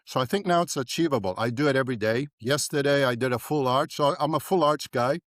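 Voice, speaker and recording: An older man from South Africa speaks as a dentist guest on a podcast, animated and fond of checking in with "right?". His voice sits at a middle pitch. The sound is dry and close. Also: quick pace, no room sound. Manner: animated, repeats "right?" often. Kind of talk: podcast interview.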